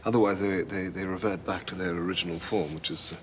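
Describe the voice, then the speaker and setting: A man playing a bookish, hesitant mentor in a TV drama, with a dry, measured and halting manner. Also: low-pitched, steady tone, fairly bright tone, slight background noise. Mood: hesitant, scholarly. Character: bookish, hesitant male mentor explaining demon lore. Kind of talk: TV drama acting.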